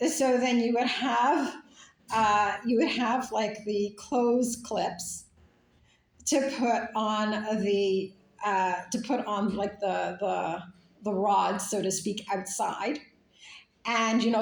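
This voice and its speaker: A woman's voice: medium pitch, roomy sound, low-quality recording. A woman from Canada talking in a casual, rambling monologue, full of fillers and restarts. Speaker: speaker.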